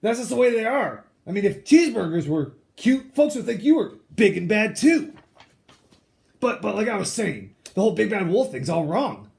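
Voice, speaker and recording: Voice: medium pitch, sing-song, moderate pace, gravelly, darkish tone. A reader voicing a sly, aggrieved male wolf who tells his side of a storybook tale in a chatty, mock-innocent, self-justifying way. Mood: aggrieved, confiding.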